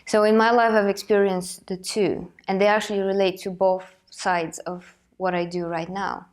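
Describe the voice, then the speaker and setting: A woman's voice: medium pitch, level tone, moderate pace. A woman in her thirties from Bulgaria hosting a personal motivational talk, speaking earnestly and reflectively while she has a cold. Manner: earnest, reflective, thinking aloud. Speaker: host.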